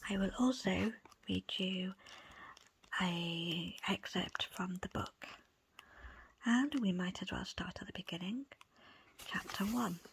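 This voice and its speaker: A woman reading a book in a breathy ASMR whisper, with soft, unhurried, deliberate phrasing. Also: medium pitch, poor audio. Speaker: book presenter and reader.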